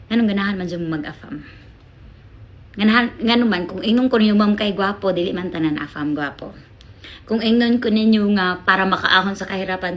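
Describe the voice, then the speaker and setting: A woman from the Philippines with a Bisaya Filipino accent, chatting casually as a vlogger and giving frank, emphatic advice with rhetorical questions and little tag words. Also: lowish voice. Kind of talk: casual chat to camera, giving blunt advice.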